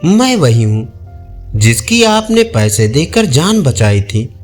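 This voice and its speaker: A man voicing a calm, sweet little girl who is a goddess in disguise in an audio devotional tale. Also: a little high, slow, faint music behind.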